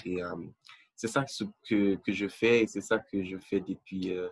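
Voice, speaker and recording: A man's voice: sits low, steady tone, normal speed, breathy edge, dry recording. A young man from Nigeria, a podcast guest, talking hesitantly with many restarts and fillers. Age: young adult. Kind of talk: casual interview chat.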